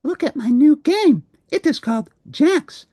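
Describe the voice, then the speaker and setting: A performer voicing a small, playful, excited childlike monster for a storybook. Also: high-pitched, measured pace, no room sound, clean studio sound, pitched above the performer's own voice. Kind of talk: storybook character voice.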